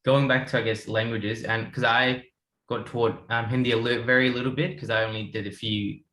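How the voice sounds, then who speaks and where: A man's voice: medium pitch, level tone, a little fast, pushed and bright, roomy sound, low-quality recording. A man in his twenties from India, telling his story in a rambling casual way with many restarts. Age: 20s. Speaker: guest. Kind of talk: casual panel chat.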